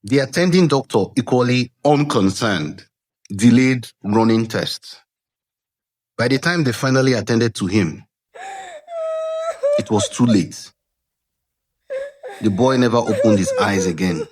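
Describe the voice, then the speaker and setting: A man narrating a scripted folktale dramatically, with measured suspense and deliberate pauses, as a storyteller. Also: medium pitch, a little mellow, slightly rough. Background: background music.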